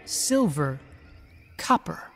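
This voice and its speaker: An actor playing a ghostly legendary pirate in an audio drama, with a slow, portentous delivery. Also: mid-range voice, sing-song, vocal fry, full character voice. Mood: ominous, commanding. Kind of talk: audio drama acting for children. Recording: loud eerie music behind.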